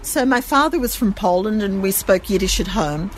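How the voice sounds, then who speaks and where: A woman's voice: medium pitch, lively intonation, normal speed, slight background noise, poor audio. A middle-aged woman who owns a gift shop, chatting casually in an interview in her store.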